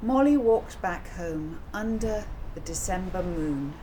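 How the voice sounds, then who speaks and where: A woman reading a children's novel aloud in a measured, expressive storytelling style. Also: lowish voice, rough recording. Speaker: author reading her own children's novel. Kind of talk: audiobook storytelling narration. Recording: clear background noise.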